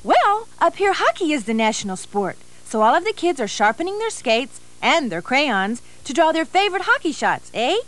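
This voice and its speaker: A woman's voice: high-pitched, big pitch swings, moderate pace, hardly any pauses, fairly bright tone. A woman voicing a cheerful, sporty Canadian crayon with a Canadian accent and a bubbly, chirpy delivery.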